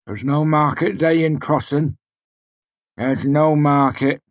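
A man's voice: a little high, fairly even intonation, slow, no echo, voice pushed up. A man voicing a weary, bruised male mercenary who grumbles sourly in a storybook reading. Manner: sour, grumbling.

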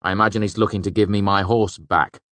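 A man voicing a sardonic, composed scribe with a grudge in a storybook, speaking in a measured way. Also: fairly low pitch, steady tone, a bit bright, dry recording, close to an everyday voice. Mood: calm menace. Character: dry, aggrieved male scribe, sharp and composed.

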